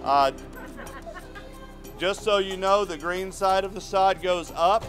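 A man, a site supervisor, giving a relaxed, joking briefing to volunteers at an outdoor build site, with many "uh"s. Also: sits high, slow pace. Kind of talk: addressing a volunteer crowd. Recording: crowd and music behind.

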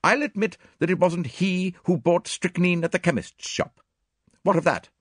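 A man's voice: on the high side, huge pitch swings, unhurried, breathy edge, no echo, studio quality, voice pushed up, full character voice. A man voicing a blunt, vehement Englishwoman with an emphatic, exclamatory manner in a storybook reading. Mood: indignant. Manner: emphatic, exclamatory, sharp-tongued. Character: blunt, vehement, agitated Englishwoman, fiercely indignant. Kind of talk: audiobook character dialogue.

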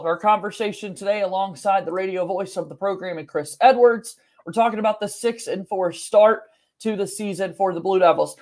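A young man with a noticeably high voice hosts a sports podcast. He speaks in a conversational presenting style from a room at home. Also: a little mellow. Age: young adult. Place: small home room, indoors.

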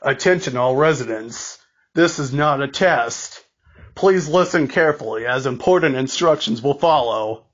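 A man reads a scripted emergency broadcast announcement in a formal, deliberate way, halting and repeating words, like an amateur. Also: medium pitch, highs cut off.

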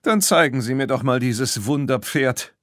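Male narrator as scornful rich buyer, curt, raised pitch, irascible, animated, audiobook character. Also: moderate pace, no echo, studio quality, lightly acted. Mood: scornful, cold. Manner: scornful, curt, shrill when angry. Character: haughty, irascible male horse buyer, spiteful and easily panicked.

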